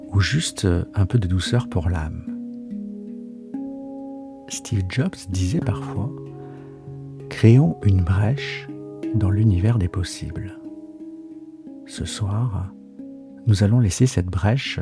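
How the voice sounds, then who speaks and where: A man guiding a relaxation meditation in a soft, husky, gravelly voice, soothing and unhurried, with hesitant pauses. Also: low-pitched. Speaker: yoga nidra guide and podcast host.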